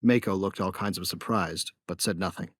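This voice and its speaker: Man playing low-voiced, cocky young bounty hunter. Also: moderate pace, no room sound, studio quality. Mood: wry narration. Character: young male bounty hunter, cocky, wry, laid-back narrator. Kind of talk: first-person audio drama narration.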